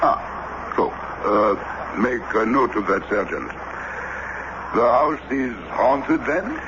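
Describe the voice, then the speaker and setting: An actor in a radio drama playing a wry, unflappable French police commissioner with a deliberate, officious delivery. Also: male voice, medium pitch, lively intonation. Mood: dry, official. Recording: dull old radio with clear noise.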